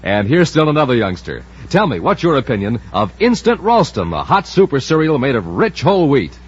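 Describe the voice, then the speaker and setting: A man's voice: medium pitch, big pitch swings, fairly quick, lightly acted. A man with an American accent announcing a 1950s radio show in a hearty, punchy salesman's style aimed at children. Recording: muffled old radio recording, faint noise.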